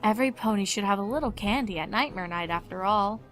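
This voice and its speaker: A woman's voice: medium pitch, fast, soft background music. A woman reading fan fiction aloud as scripted story narration.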